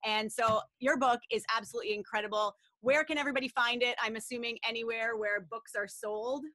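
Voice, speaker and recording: Woman host, fairly high voice, dry close sound, encouraging and affirming. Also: steady tone, brisk, no echo, low-quality recording. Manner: warm, encouraging, affirming the guest. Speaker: interview host. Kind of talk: interview hosting.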